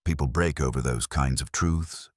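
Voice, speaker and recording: A man voicing a grave, thoughtful police inspector in an audio drama, speaking in a dry, measured way. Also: a bass voice, dry recording.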